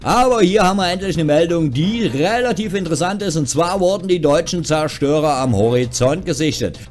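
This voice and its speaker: Man, slightly high voice, chatty, wry, casual gaming news host, music behind. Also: a little fast, a little mellow. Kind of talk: casual, tongue-in-cheek news commentary. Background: background music.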